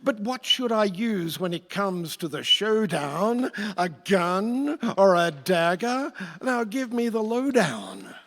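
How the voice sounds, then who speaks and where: A man acts out a fussy villain of the underworld in a staged radio play, plaintive and theatrical. His voice is high and slow, with long hall reverb around it. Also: sing-song, fairly bright tone, exaggerated voice. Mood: fretful.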